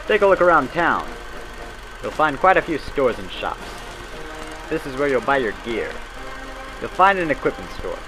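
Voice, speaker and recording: A man's voice: mid-range voice, rough recording. Man narrating like a 1940s training film, music behind. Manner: brisk, instructive, folksy period phrasing.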